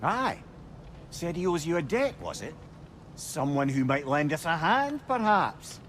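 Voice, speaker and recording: An actor playing a wry, talkative old adviser, wordy and philosophical, in a video game. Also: male voice, fairly high pitch, very animated, a little slow, a bit bright, a little hiss or hum. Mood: inquisitive. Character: wry, talkative, counselling old male companion. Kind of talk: dramatic video game acting.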